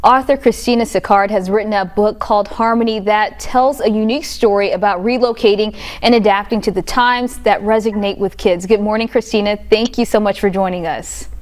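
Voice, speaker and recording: A woman's voice: medium pitch, fairly even intonation, a little fast, few pauses. A woman news anchor introducing a guest and asking interview questions in a conversational, affirming way. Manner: smooth, affirming with short mm-hmms.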